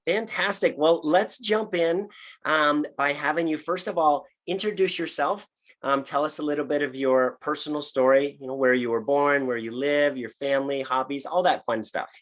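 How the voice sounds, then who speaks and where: A host introduces a guest and opens the interview in a voice of middle pitch. The delivery is upbeat, with short exclamations of surprise along the way. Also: moderate pace, a bit bright. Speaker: show host.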